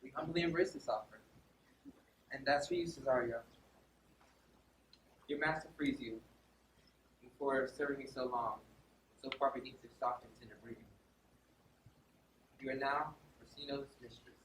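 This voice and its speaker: A man's voice: mid-range voice, normal speed, long pauses, a bit bright, lightly acted. A man playing a gracious, lovestruck noble lord in a theatrical stage drama. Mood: courtly. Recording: echoey room, distant mic, faint noise.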